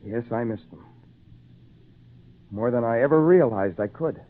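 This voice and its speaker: A man's voice: medium pitch, animated, measured pace, lightly acted. A man playing a kindly, lonely English castaway in an old-time radio drama, with patient, theatrical phrasing. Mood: wistful, kindly. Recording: tinny narrow-band old radio recording.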